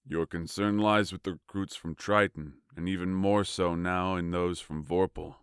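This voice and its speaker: Man acting as a deep, cold warlord king. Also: fairly even intonation, clear, resonant tone, no room sound, clean studio sound, natural voice. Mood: probing, measured. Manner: measured, authoritative, formal. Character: large, tall warlord king, cold, commanding, ruthless strategist. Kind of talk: audio fiction acting.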